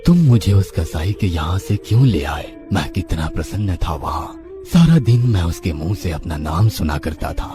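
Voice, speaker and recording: A man voicing a gentle deity speaking in a dream in a cartoon, calm and tender. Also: low-pitched, moderate pace. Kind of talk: cartoon voice acting. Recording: background music under voice.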